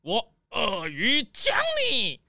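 An actor playing a pompous, boastful rich crocodile gentleman in a children's audio drama, with theatrical, drawn-out exclamations. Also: medium pitch, big pitch swings, no room sound, studio quality, full character voice. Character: pompous, showy rich crocodile gentleman throwing his birthday banquet.

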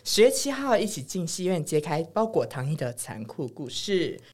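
Playful woman podcaster with swooping lower-pitched voice. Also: big pitch swings, moderate pace, a little mellow. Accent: Taiwanese Mandarin. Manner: playful, giggly, teasing. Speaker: podcast co-host. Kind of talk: casual podcast chat.

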